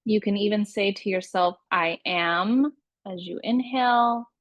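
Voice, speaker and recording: Female meditation guide, very slow and soothing, mid-range pitch, close dry recording. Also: dry recording. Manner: unhurried, soothing instructional delivery with pauses. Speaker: yoga nidra meditation teacher.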